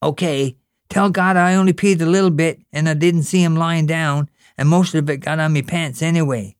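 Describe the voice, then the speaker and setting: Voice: steady tone, normal speed, clean tone, dry recording, studio quality, natural voice. A reader voicing a curious, whiny little boy, nagging his older brother with questions. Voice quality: very high, childlike.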